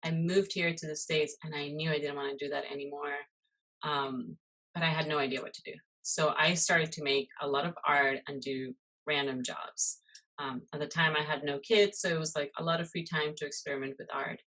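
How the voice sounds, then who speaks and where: Woman from costa rica, pretty low voice, rambly casual chat with lots of um and you know. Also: steady tone, moderate pace, a bit bright, low-quality recording. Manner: chatty, many fillers and "you know". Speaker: guest, muralist and illustrator.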